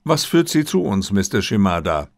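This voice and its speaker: A man voicing a brisk, self-assured detective who speaks in probing, inquisitive phrases in an audiobook. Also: on the low side, very animated, dry recording, clean studio sound. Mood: courteous. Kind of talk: audiobook character dialogue.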